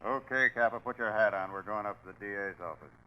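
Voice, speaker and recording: A man's voice: on the low side, fast, muffled recording, heavy background noise, close to an everyday voice. An American man playing a tough, sardonic police sergeant, clipped and commanding, in a 1940s radio crime drama. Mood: dry, pressing. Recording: vintage 1940s radio broadcast.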